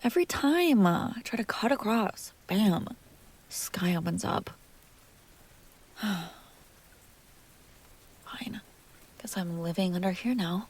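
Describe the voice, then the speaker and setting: A woman playing a jealous, hurt young woman confronting her crush in an intimate audio roleplay, halting, sarcastic and emotionally raw. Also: mid-range voice, animated. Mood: exasperated, muttering.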